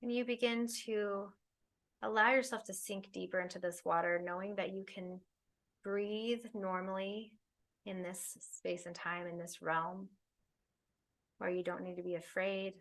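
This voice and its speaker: A woman leading a guided meditation, speaking soothingly in unhurried phrases with long pauses. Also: mid-range voice, steady tone, fairly bright tone. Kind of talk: guided meditation, spoken slowly to listeners.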